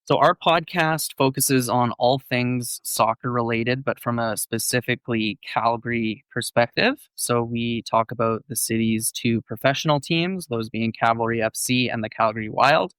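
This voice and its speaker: Male co-host, clear and resonant, fluent and explanatory, dry close mic. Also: mid-range voice, normal speed, hardly any pauses, a bit bright, no room sound. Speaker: podcast co-host. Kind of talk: casual sports podcast chat.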